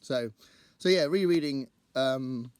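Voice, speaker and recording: Croaky-voiced man chatting about books. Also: medium pitch, slow pace, a little mellow, no room sound. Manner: chatty, rambling, thinks aloud. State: croaky voice after a singing gig. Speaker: book channel host. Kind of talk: casual to-camera book chat.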